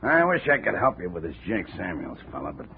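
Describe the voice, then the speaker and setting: A chatty, gravelly insurance man. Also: low voice, huge pitch swings, a little fast, slight background noise, full character voice. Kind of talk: 1950 radio drama acting. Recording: tinny old radio broadcast.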